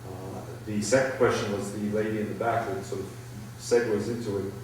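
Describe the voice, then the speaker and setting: A middle-aged man answering audience questions at a public talk, thoughtful and halting, with many restarts. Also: fairly low pitch, flat delivery. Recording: echoey hall, far from the mic, faint noise.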